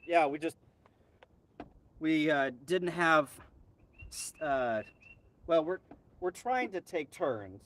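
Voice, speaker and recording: A man's voice: fairly high pitch, expressive, slow, long pauses, fairly bright tone. An American man hosting a casual live stream as a ham radio hobbyist, chatting in an off-the-cuff way. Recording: distant mic, faint background noise.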